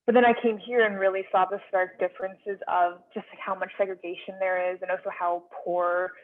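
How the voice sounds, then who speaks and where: A young woman from Nepal sharing a casual, animated story as an audience member on a video call. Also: mid-range voice, a little fast. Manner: chatty, animated storytelling with many fillers. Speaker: audience participant. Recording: tinny phone-like video call audio.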